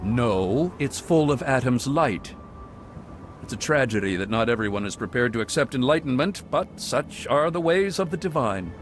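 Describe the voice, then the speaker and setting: A man's voice: mid-range voice, expressive, normal speed, slight background noise, natural voice. A man playing a pious cult monk. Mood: serene. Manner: soft, pious, sermonizing. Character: serene zealous male cult monk. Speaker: game character. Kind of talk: video game dialogue.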